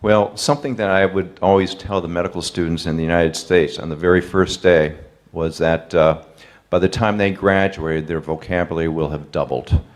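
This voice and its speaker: A man, a professor, answering questions in a webinar over a video call in a measured, explanatory way. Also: deep voice, fairly even intonation.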